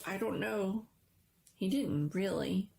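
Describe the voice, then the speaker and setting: A woman's voice: medium pitch, slow, creaky, darkish tone. A woman voicing a timid, weepy young schoolboy in a dramatic story reading, speaking urgently and stammering. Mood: scared, apologetic. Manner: urgent, stammering, repeating himself.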